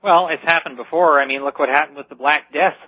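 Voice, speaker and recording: A man's voice: a little high, fast talker, bright, forceful tone, breathy edge, dry recording. A man speaking as a radio show guest, explaining things earnestly in an interview. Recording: slightly dull lo-fi radio call audio.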